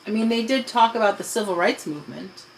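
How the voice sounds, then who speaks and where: A woman's voice: mid-range voice, lively intonation, fast, slight background noise, poor audio. An adult woman talking casually with a child, patiently explaining and asking questions. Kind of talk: casual conversational discussion with a child.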